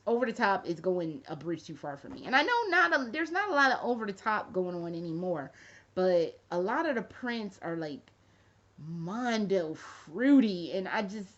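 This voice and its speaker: A woman in her thirties chatting casually to camera as a fashion vlogger, rambling and swearing freely with jokey asides. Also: on the high side. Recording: dull lo-fi recording.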